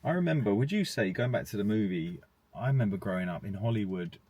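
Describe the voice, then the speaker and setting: A man's voice: lowish voice, expressive, fast talker, dark tone, no room sound. A man co-hosting a podcast in casual conversation, agreeable and a little hesitant as he backs up the other speaker. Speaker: podcast co-host.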